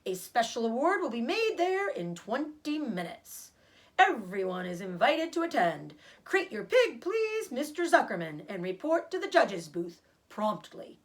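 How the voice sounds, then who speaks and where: A woman voicing a formal fairground loudspeaker announcer in a storybook, loud, deliberate and official. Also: on the high side, sing-song. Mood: official, emphatic.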